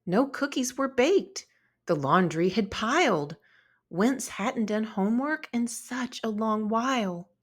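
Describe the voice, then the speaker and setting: Slow, very animated woman storybook reader. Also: on the high side. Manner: deliberate, expressive. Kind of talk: expressive children's read-aloud narration.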